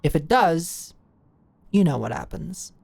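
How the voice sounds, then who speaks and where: A woman's voice: sits low, lively intonation, slow, creaky, darkish tone, breathy edge, soft background music, full character voice. A woman playing a blunt, shrewd older British executive in an audio roleplay, talking in a brash, slangy, commanding way. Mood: frank, confiding. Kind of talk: scripted audio roleplay monologue.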